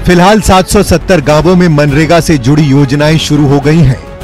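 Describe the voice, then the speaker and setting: A man's voice: fairly high pitch, fairly even intonation, moderate pace, a little mellow. A man reading scripted news narration as a voice-over. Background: faint music bed.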